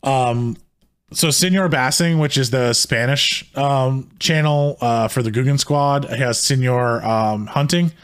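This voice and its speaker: A man co-hosting a casual podcast, talking in a heated, rambling way with many restarts, his voice slightly hoarse. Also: mid-range voice, takes it slowly. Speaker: podcast co-host.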